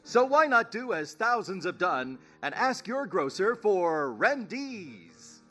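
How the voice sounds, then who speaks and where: A man plays a chipper, folksy announcer from 1930s radio. He pitches high with lively swings, polished and salesmanlike, over music. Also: slow, heavily acted. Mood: upbeat selling.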